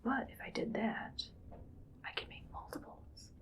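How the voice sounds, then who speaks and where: A woman playing a musing, matter-of-fact mad scientist in an ASMR medical roleplay, murmuring in a breathy whisper with creaky edges. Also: fairly bright tone, close to an everyday voice. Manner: unhurried, murmuring to self, clinically detached. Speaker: ASMR performer.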